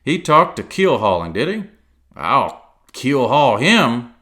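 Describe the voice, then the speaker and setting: A man's voice: mid-range voice, sing-song, a little slow, a bit bright, voice pushed up. A man reading aloud and voicing a cunning, one-legged pirate cook who blusters in salty sea talk. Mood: blustering.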